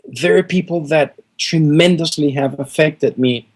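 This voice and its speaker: A man's voice: a little high, measured pace. A middle-aged man from Venezuela, a guest musician answering interview questions in an earnest, emphatic way, repeating words for stress.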